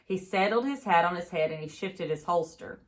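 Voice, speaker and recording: A woman reading a children's novel aloud expressively, in the manner of a teacher with a class. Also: lowish voice. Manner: pauses to chat with young listeners.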